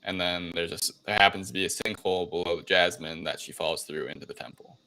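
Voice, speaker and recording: Bright-voiced, low-pitched man chatting. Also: steady tone, quick pace, low-quality recording. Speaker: podcast co-host. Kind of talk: casual film review chat.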